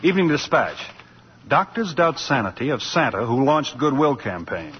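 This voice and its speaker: A man voicing an earnest young lawyer in an old radio play, persuasive and determined, with lively rising and falling pitch. The sound is a dull lo-fi broadcast with faint hiss. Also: normal speed, lightly acted. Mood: resolute. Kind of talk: 1940s radio acting.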